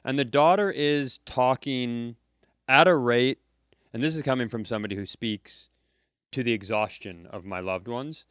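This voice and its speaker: A man's voice: mid-range voice, moderate pace, fairly bright tone, smooth, dry recording, studio quality. A man co-hosting a live comedy podcast, telling a rambling anecdote full of asides. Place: comedy club stage.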